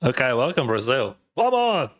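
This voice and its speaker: A man's voice: medium pitch, big pitch swings, moderate pace. A man from Ukraine with a Ukrainian accent and Russian as his first language, chatting casually on a poker live stream, advising viewers with lots of "like" and "uh". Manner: chatty, advising, heavy use of "like" and "uh". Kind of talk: casual live-stream commentary, answering chat.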